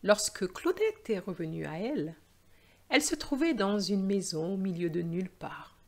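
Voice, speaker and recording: A woman narrating a scripted story for language learners in a deliberate, carefully phrased and expressive way. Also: medium pitch, background noise.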